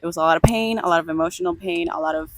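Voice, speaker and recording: A woman's voice: on the low side, racing, a little hiss or hum. A young woman from Cuba talking candidly to camera outdoors by a beach, emotional and laughing often. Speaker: vlogger. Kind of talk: casual vlog to camera.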